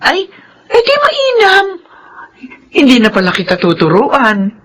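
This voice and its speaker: A woman acting the part of a kind, patient housemaid with a gentle, hesitant manner in a radio drama. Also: high voice, wildly swooping pitch, measured pace, exaggerated voice. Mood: friendly, tentative.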